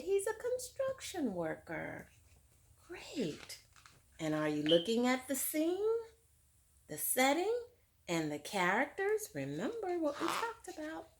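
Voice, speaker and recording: A woman teacher reading a picture book to children, pausing for hushed, whispery asides and questions. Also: high-pitched, huge pitch swings, a little hiss or hum. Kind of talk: read-aloud for children.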